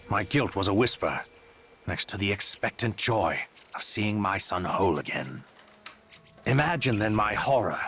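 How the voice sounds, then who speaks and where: A man's voice: lowish voice, bright, forceful tone. An actor playing a sombre, guilt-ridden father narrating a fantasy game tale in a grave, regretful manner. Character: sombre, guilt-ridden father recounting his dark bargain.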